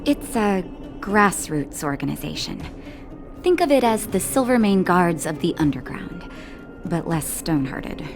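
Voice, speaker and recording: Low-pitched, composed, caring woman doctor. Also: big pitch swings. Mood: calm, reassuring. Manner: gentle, unhurried, knowing. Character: caring, composed female doctor, gently teasing and firm. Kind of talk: scripted game cutscene acting. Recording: music behind voice.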